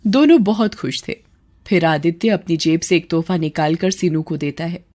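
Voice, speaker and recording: A woman's voice: lowish voice, normal speed, mellow. A woman reading scripted narration for a cartoon story as the storyteller.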